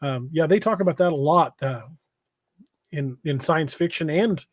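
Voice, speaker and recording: A man casually hosting a livestream, reading out comments and chatting in a halting, hesitant way. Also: a little high, dry recording, studio quality. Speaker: podcast host and novelist. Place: indoors on an internet livestream video call.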